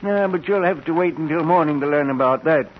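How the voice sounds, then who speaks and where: Guy as an old inventor professor, high voice, proud and avuncular, muffled old radio drama sound. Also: fairly quick, lightly acted. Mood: proud, eager. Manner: eager, avuncular, showmanlike. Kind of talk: 1940s radio acting. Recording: muffled, noisy 1940s radio recording.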